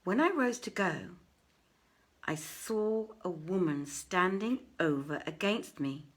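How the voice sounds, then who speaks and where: A woman reading an old literary tale aloud as a storyteller, with expressive, measured phrasing. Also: on the low side. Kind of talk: dramatic live literary reading.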